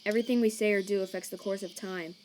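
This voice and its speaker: A woman's voice: mid-range voice, steady tone, fast talker, a little mellow, slight background noise, close to an everyday voice, low-quality recording. A woman voicing a laid-back male skeleton monster in a fan comic dub, casual and wry as he shrugs things off. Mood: brooding. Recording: chirping crickets and night ambience behind.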